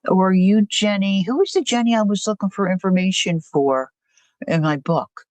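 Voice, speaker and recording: A woman's voice: medium pitch, moderate pace, a little mellow, no echo. An older woman hosting a livestream, chatting casually and rambling through a story. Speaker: livestream host. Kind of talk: casual chat.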